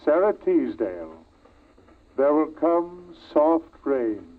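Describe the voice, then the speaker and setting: An actor playing a polite, unfeeling automated household servant, formal and measured, in old radio drama style. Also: male voice, a little high, big pitch swings. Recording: tinny old radio recording, faint noise.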